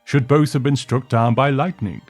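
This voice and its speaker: Guy doing a hearty old man in a story, opinionated, rambly old-fashioned talk, soft music behind. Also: mid-range voice, normal speed, breathy edge, voice pushed up, lightly acted. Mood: proud, wistful. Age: older. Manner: rambling, exclamatory, old-fashioned turns of phrase. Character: hearty opinionated old man reminiscing about his beloved ship.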